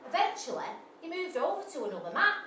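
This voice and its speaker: A woman's voice: sits high, sing-song, fast talker, sharp tone, faint music behind. A woman performing a live comic monologue, brash, sweary and self-mocking, full of asides to the audience. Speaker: storyteller. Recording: echoey live venue from far off.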